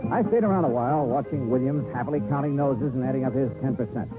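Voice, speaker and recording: A man's voice: mid-range voice, fast, lightly acted. An American man acting as a wry, clipped insurance investigator in an old-time detective radio drama. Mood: tense narrating. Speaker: lead actor and first-person narrator. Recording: vintage narrow-band radio, music behind.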